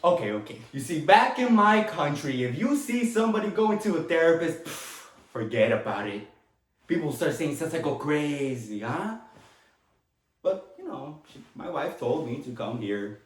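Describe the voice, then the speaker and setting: A man performing a dramatic monologue as a talkative, tender immigrant father with a Latin American Spanish accent, rambling haltingly with small chuckles. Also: a little high, big pitch swings. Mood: sheepish, chatty. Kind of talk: dramatic monologue, stage acting. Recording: echoey room.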